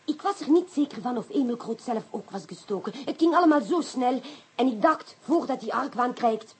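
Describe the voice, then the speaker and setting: Radio-play female sleuth: French accent, self-assured and coquettish, breathy husk, high animated pitch. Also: moderate pace. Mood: composed. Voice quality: husky. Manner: coquettish, sprinkles in French phrases. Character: clever Frenchwoman, self-assured amateur sleuth, fond of the inspector. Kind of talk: Dutch radio play acting.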